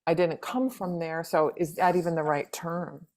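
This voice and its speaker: A woman's voice: fairly low pitch, steady tone, moderate pace, darkish tone. Thoughtful woman playwright in her fifties. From United States. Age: 50s. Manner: thoughtful, many restarts and repeated words. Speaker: playwright, guest. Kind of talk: reflective interview conversation.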